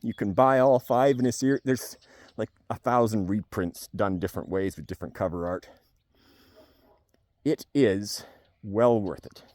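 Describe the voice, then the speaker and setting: A man's voice: fairly low pitch, normal speed, a little mellow, no room sound. A man talking casually and spontaneously to camera in a rambling, wry, jokey way, like a vlogger giving a review. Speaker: video blogger giving a book review. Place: on a farm among cows.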